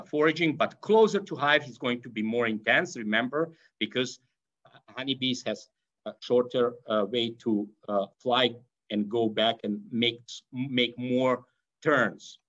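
A middle-aged man from Yugoslavia with an Eastern European accent, giving an online lecture, often pausing with fillers and restarting phrases. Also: mid-range voice, a bit bright. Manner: hesitant, many fillers and restarts. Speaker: veterinary professor. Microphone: video call webcam. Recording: slightly dull video call audio.

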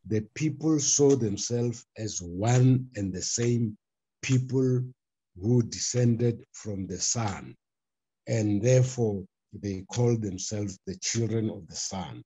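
An older man presenting a formal paper on a video call from a book-lined study, speaking deliberately with frequent pauses and fillers. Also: fairly low pitch, mellow, breathy edge. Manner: deliberate, hesitant delivery with frequent uh fillers and restarts. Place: study with bookshelves, indoors. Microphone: laptop webcam on a video call.

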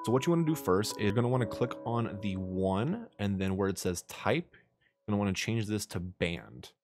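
A young man in his twenties with a low voice, talking through step-by-step software settings on a studio mic in a small bedroom studio. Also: moderate pace, no room sound, faint music behind. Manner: steady step-by-step instructions. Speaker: streaming tutorial creator. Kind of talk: screen-recorded software tutorial. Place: small dim bedroom studio with blue lighting. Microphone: studio mic on boom arm.

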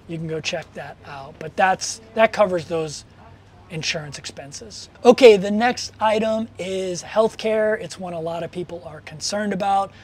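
Chatty male vlogger, forties, high voice, upbeat and explanatory, lapel mic outdoors. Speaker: travel vlogger. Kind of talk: casual talking-head vlog. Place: outdoors on a cruise ship balcony by the sea. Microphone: clip-on lapel mic.

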